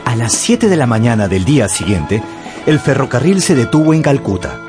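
Man, radio drama narrator, medium pitch, animated intonation, storytelling, articulate, music underneath. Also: moderate pace. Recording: music behind the voice.

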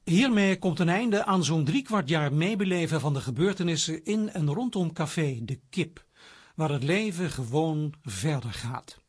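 A man reading formal, measured closing credits for a radio drama series as its director. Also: fairly high pitch, breathy edge. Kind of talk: scripted radio announcement.

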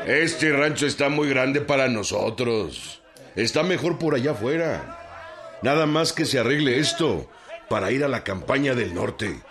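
An actor playing a folksy, fervent rural revolutionary general with a Mexican accent in a radio drama, speaking colloquially and emphatically. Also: male voice, mid-range voice, sing-song, unhurried. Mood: folksy, resolute. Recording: loud crowd behind the voice. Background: crowd.

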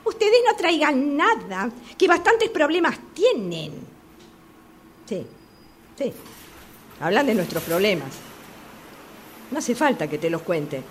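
An actress playing a chatty, exasperated woman with a Rioplatense Argentine accent, gossiping and complaining in a radio play about a subway phone call. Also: medium pitch. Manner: gossipy, exasperated, repeating herself. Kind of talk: radio theatre monologue acting. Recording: clearly noisy amateur workshop recording.

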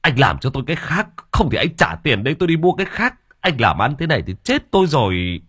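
A man playing a hot-tempered older villager, scolding hard with pitch rising and falling, husky low voice. Dry close sound. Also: very animated, brisk, a bit bright, exaggerated voice. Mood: angry.

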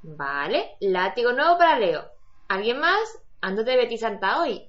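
A woman's voice: on the high side, sing-song, unhurried, a bit bright. A woman playing a motherly, encouraging prefect leader in an amateur radio play, doting and coaxing. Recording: dull lo-fi home recording.

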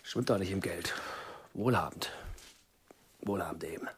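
A man's voice: deep voice, moderate pace, slight background noise, close to an everyday voice. An actor playing an exasperated, opinionated man in a German radio play, speaking in an agitated, emphatic way. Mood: indignant, anxious. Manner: agitated, emphatic, repeating himself indignantly. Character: exasperated, opinionated man fretting over a friend.

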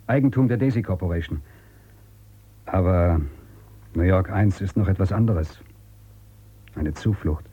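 An actor playing a brusque, suspicious outcast in a radio drama, with a commanding, curt way of questioning. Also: male voice, on the low side, mellow, husky, muffled recording. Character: brusque, suspicious male outcast hiding in abandoned future city. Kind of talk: German radio drama acting.

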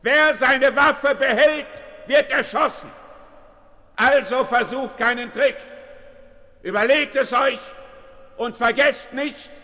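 An actor playing a commanding frontier hero, declaiming with authority in a western radio play. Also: male voice, very high-pitched, takes it slowly, long pauses, pushed and bright, full character voice. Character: commanding western hero giving surrender ultimatum. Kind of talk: 1970s German radio play acting. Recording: long hall echo, calling from afar.

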